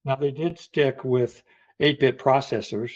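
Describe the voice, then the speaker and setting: A man's voice: mid-range voice, steady tone, a little slow, highs cut off. A man co-hosting a casual, conversational discussion of computer history. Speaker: podcast co-host.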